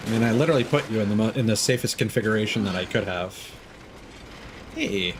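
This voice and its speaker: A man's voice: on the low side, quick pace. A man giving casual, wry gameplay commentary, playfully talking to himself as he streams. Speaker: video game streamer. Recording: game noise behind the voice. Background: video game ambience and mechanical sounds.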